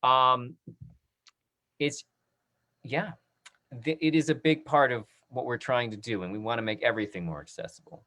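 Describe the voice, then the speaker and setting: A man's voice: mid-range voice, fairly even intonation, normal speed, long pauses, bright, forceful tone. Male moderator, casual and encouraging, hosting an online arts talkback. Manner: appreciative, encouraging. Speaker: host of a post-show discussion. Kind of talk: casual moderated panel talk. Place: online video discussion.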